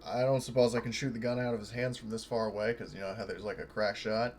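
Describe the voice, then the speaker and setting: A man's voice: fairly low pitch, level tone, fast, darkish tone, a little hiss or hum. A man playing a tabletop game, chatting casually as a player.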